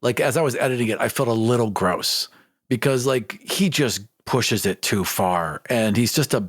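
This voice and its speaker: A man's voice: medium pitch, normal speed, breathy edge, no room sound, clean studio sound. A man co-hosting a podcast in casual, blunt and emphatic conversation. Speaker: podcast co-host and editor. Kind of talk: casual chat.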